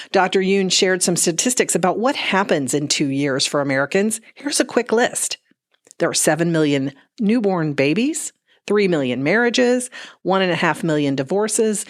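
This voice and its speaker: Slightly low-voiced woman giving market report. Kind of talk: scripted news segment narration.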